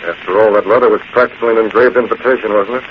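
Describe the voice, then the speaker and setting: An actor playing a calm, dry, resolute American masked vigilante in a 1940s radio drama. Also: male voice, lowish voice, racing, natural voice. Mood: cool, dry. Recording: muffled, noisy 1940s radio recording.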